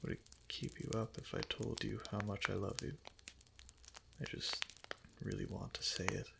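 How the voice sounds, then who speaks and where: An obsessively devoted, possessive kitsune husband, acted by a man for a romantic ASMR roleplay. The voice is low and heavily gravelly, slipping often into breathy whispers, with soft, clingy pillow-talk phrasing. It sits close on the mic with audible noise around it. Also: little pitch movement, normal speed. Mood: tender, soothing. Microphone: close ASMR microphone.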